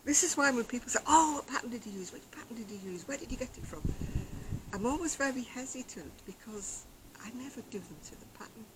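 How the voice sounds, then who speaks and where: An older woman vlogging about her crochet, chatting casually on an outdoor deck in a quiet, soft-spoken, rambling way. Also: on the high side, expressive, fast, background noise. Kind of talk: casual chat to camera. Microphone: external camera microphone.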